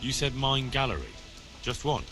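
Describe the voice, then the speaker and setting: A man's voice: mid-range voice, big pitch swings, normal speed. An actor playing a dutiful, inquisitive young medieval man-at-arms in a video game, plain-spoken and deferential. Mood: earnest, dutiful. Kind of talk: scripted video game dialogue. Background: music.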